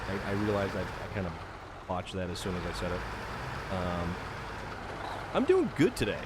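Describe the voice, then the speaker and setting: A man's voice: low-pitched, huge pitch swings, normal speed, heavy background noise. A man from Massachusetts chatting casually with viewers while he plays a driving game, rambling with fillers and thanking people by name. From Massachusetts, New England. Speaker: streamer. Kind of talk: casual live-stream chat while playing.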